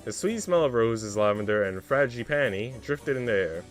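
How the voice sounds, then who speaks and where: A man's voice: medium pitch, animated, normal speed. Man narrating a visual novel over music. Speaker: livestreamer reading a visual novel. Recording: music playing behind the voice. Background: background music.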